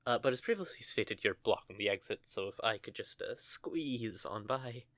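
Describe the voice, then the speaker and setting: A man's voice: on the low side, lively intonation, normal speed, fairly bright tone, dry recording. A young man voicing a nervous, stuttering young male witch in an audio roleplay, recorded close and dry. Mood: embarrassed. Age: young adult. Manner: stammering, flustered.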